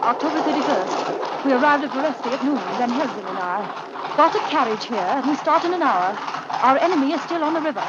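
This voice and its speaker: A woman's voice: high-pitched, expressive, brisk. An actress playing an earnest young wife reading her journal in a 1930s radio drama. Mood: tense. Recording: muffled, very noisy 1938 radio recording.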